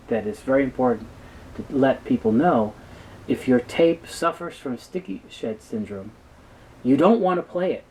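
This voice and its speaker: A man giving a halting, explanatory technical talk as an expert guest in an interview. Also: mid-range voice, darkish tone, slight background noise, rough recording. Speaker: guest expert on reel-to-reel tape.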